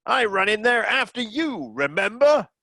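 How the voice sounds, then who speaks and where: A man's voice: high voice, sing-song, a little slow, vocal fry, sharp tone, dry recording, clean studio sound, full character voice. A man acting a blustering, indignant cruise passenger in a comedy radio play. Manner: blustering, exclamatory.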